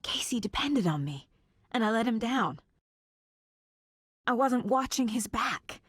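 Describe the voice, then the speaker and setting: Casting: level-headed female FBI investigator for a game drama; lowish husky tone, focused delivery, very dry sound. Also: expressive, dry recording. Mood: distressed. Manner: measured, serious, focused. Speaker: video game voice actress. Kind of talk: naturalistic dramatic game dialogue and inner monologue.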